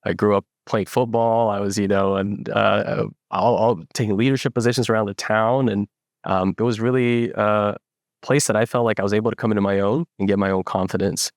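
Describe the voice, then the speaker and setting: A young man from the Philippines, a startup founder, telling his story in a relaxed interview with lots of fillers and restarts. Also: fairly low pitch, fairly quick, no room sound, clean studio sound. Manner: reflective, many fillers and restarts. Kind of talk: casual interview.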